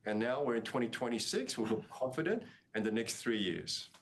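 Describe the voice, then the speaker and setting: Man, company executive, medium pitch, earnings call answers, emphatic, restarts phrases. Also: sing-song, a little fast, a little hiss or hum.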